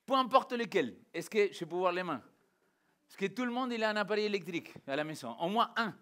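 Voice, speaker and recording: A man's voice: high voice, fairly quick. A man from Argentina, a native Spanish speaker, preaching as a pastor in an informal, interactive way, putting questions directly to his listeners. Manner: animated rhetorical questions, addressing listeners directly. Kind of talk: informal interactive sermon to a congregation.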